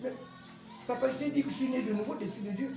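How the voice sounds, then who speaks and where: A man, a pastor giving an animated, emphatic testimony and teaching with repetitive storytelling. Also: very high-pitched, fast. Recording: roomy echo, faint music behind.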